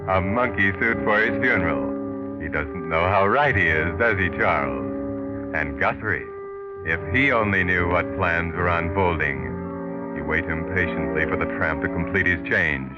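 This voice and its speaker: Deep, ominous radio mystery narrator, man acting. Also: moderate pace, loud music behind the voice, lightly acted. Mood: ominous, suspenseful. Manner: sardonic, insinuating, second-person storytelling. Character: ominous, taunting male narrator addressing the villain. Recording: narrow-band 1940s radio recording.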